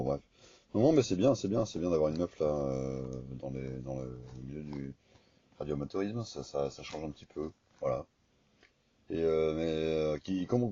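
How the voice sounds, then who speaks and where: A man speaking informally and haltingly as an amateur radio operator, in a casual chat over the air. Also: a bass voice, warm tone. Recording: dull, echoey two-way radio recording.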